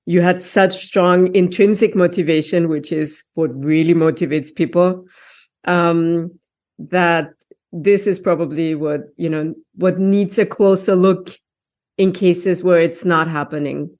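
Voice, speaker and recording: Woman podcast host, lowish voice. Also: a little slow. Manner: lively, laughs often, asks long questions. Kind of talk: casual interview.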